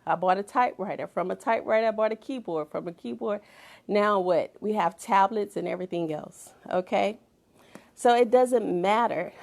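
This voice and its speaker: A middle-aged woman speaking at a mid pitch with a gravelly edge. She gives a motivational talk like a sermon, hammering points home through repetition and now and then sinking to a hushed whisper. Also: moderate pace. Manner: emphatic, repeats phrases for effect, drops to whispers. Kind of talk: inspirational talk with preaching.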